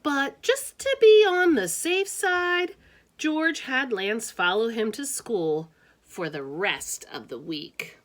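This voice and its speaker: A woman reading a children's storybook aloud with expressive, deliberate phrasing and casual asides. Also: fairly high pitch.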